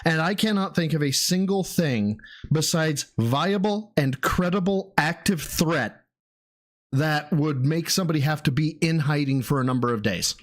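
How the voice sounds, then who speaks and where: A man's voice: a little high, moderate pace. A man streaming a reaction video, talking casually and swearing freely. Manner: profane, blunt, rambling. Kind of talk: casual livestream commentary.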